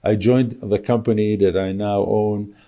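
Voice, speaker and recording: A man in his sixties from Israel, talking as a guest in a casual interview, measured and halting with many fillers. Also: lowish voice, little pitch movement, highs cut off. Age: 60s. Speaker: podcast guest, real estate broker.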